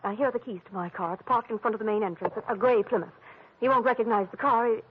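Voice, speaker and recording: An actress playing a genteel, sentimental wife confiding hesitantly in a detective, in 1940s radio drama style. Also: female voice, fairly high pitch, quick pace, slight background noise, close to an everyday voice. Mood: anxious, confiding. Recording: thin, phone-like old radio recording.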